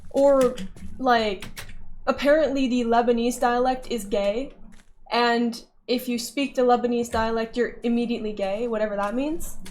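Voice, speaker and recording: A woman's voice: on the high side, fairly even intonation, moderate pace, darkish tone. A woman vlogger talking casually and candidly while playing a game, rambling and joking at her own expense. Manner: chatty, candid, self-deprecating. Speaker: gaming vlogger.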